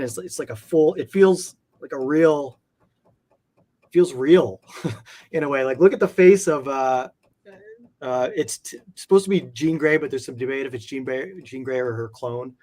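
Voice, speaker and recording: Male interview guest, medium pitch, enthusiastic hobbyist collector, conversational and rambling, lots of "like". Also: darkish tone. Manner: rambling, peppers talk with "like". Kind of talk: casual enthusiastic chat about comic art.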